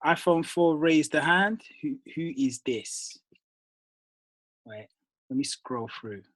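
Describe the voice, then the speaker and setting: A man's voice: fairly high pitch, animated, slow pace, fairly bright tone, no echo. Casual, upbeat man hosting a call. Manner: casual, calls people bro and dude. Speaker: team leader hosting a group call. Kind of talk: motivational conference call.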